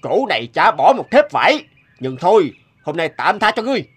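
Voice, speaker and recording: A man's voice: sits high, very animated, a little fast, background noise. A man playing an angry, threatening cloth merchant in a fairy-tale cartoon. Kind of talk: animated fairy-tale dubbing.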